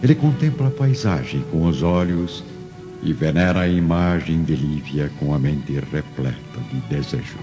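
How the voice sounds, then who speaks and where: A man's voice: rumbling low, expressive, normal speed. A man giving solemn, theatrical scripted narration for a drama. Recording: muffled audio with faint music.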